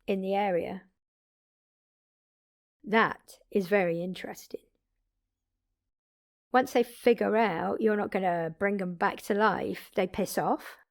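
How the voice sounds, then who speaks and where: Audio drama role: woman as immortal vampire mentor, wry, blunt, teasing, conversational. Medium pitch, unrushed pace. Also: vocal fry. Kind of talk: scripted one-sided audio drama roleplay.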